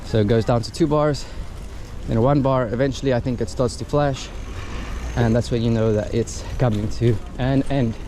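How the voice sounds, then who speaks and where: A man's voice: mid-range voice, steady tone, normal speed, mellow. A man giving a casual, unscripted product review in an informal, thinking-aloud way, recorded outdoors on a city street. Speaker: gadget reviewer and vlogger. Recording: noisy outdoor street with traffic ambience. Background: urban traffic and street noise.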